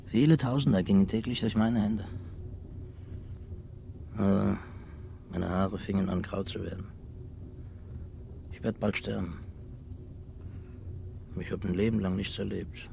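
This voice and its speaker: An actor playing a weary, timid older former cashier in a radio drama, ruminating formally and putting himself down. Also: male voice, fairly low pitch, a little slow, gravelly, long pauses, dark tone. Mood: bitter, resigned. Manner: ruminating, self-deprecating, formal. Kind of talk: 1950s radio drama acting. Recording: noisy, aged 1950s radio recording.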